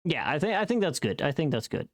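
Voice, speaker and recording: Casting: live streamer, medium-pitched voice, offhand working chatter, murmured asides and repeated words. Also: big pitch swings, fast. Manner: muttering to self, repeating words. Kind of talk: casual live stream chat while working.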